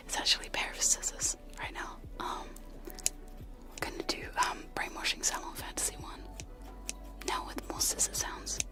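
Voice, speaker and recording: Softly whispering woman, close to the mic. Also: moderate pace, long pauses, over music. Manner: soft whisper, giggly and self-deprecating. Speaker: ASMR streamer. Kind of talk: whispered ASMR chat with trigger sounds. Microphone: close sensitive microphones.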